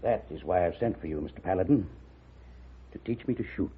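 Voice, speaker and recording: An actor playing a proud, determined, wealthy blind father in a 1950s radio western, with a husky voice and formal, commanding speech. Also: sits low, fairly quick, slight background noise, lightly acted. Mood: grave, insistent. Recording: narrow, muffled old radio recording.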